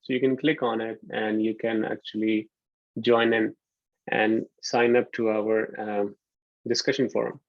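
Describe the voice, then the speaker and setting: A man from Pakistan in his thirties giving a relaxed online university lecture, often pausing with ums. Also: fairly low pitch, dry recording. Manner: relaxed, hesitant with frequent ums. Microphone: computer mic on a video call. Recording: dull lo-fi video call audio.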